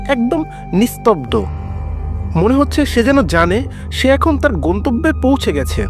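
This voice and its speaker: A man narrating a scripted first-person horror story in a dramatic, suspenseful storytelling manner. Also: sits high. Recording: background music under the voice.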